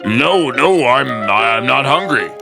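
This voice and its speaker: A man acting a huge storybook giant who has lost his nerve. His voice is gravelly and swings widely as he stammers with nervous courtesy. Music runs underneath. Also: medium pitch, expressive, slow pace. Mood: nervous, polite. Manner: hesitant, flustered, stammering. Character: big rival Scottish giant, intimidated and nervously polite. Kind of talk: storybook audio drama.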